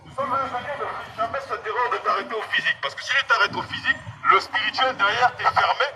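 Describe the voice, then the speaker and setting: A man delivers a fervent outdoor sermon in a high-pitched voice. He speaks through a megaphone, repeating key phrases emphatically as he addresses people passing by. The street around him is clearly noisy, with vehicles behind his voice. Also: fast, sharp tone. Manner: exhortatory, emphatic, repetitive, addressing passers-by directly. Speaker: street evangelist.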